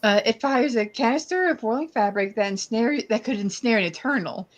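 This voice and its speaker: A woman's voice: a little high, expressive, fairly quick, dull audio. A woman chatting casually as a player in a tabletop game, thinking aloud in a tentative way.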